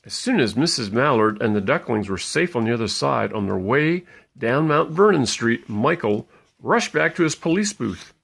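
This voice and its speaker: Guy reading a kids storybook, playful, husky n creaky, voice goes up and down a lot. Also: medium pitch, expressive, fairly bright tone. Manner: playful, pausing to point things out. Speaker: parent reading a bedtime story aloud. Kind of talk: expressive storybook narration for young children.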